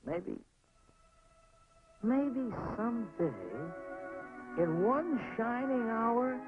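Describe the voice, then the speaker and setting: A man's voice: extremely high pitch, full character voice. A man voicing a jittery, gossipy veteran navy airplane in a 1940s cartoon, stammering and repeating words in a folksy way. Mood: wistful. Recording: tinny 1940s film soundtrack with music.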